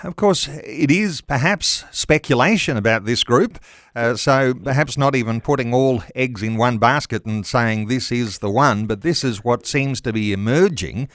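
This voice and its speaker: A man interviews a guest as a radio host. His pitch is middling and his delivery measured and conversational. The sound is dry, with no room around the voice. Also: a bit bright, dry recording. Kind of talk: conversational current affairs interview.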